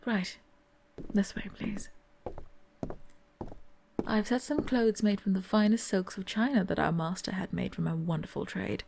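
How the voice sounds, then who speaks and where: A woman playing a polite, sly servant maid in an ASMR audio drama, soft-spoken and often whispering. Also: mid-range voice, measured pace, vocal fry, long pauses, noisy background.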